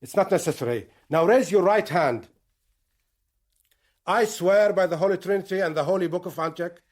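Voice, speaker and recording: Commanding, courteous militant leader. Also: male voice, high voice, darkish tone. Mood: solemn, insistent. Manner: deliberate, interrogating, formal, imperious. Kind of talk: radio drama acting.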